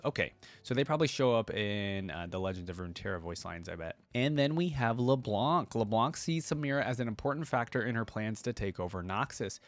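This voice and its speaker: Man, mid-pitched voice, reaction host, conversational, opinionated commentary, dry close recording. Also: no echo. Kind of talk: casual reaction commentary mixed with reading wiki text aloud.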